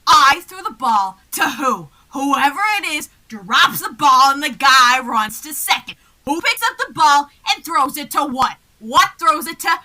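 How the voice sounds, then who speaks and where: Woman playing a shrill, flustered comic man. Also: full character voice, low-quality recording. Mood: exasperated. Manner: frantic, excitable, fast back-and-forth delivery. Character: flustered, exasperated comic man in a baseball routine. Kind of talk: old-time radio comedy double act.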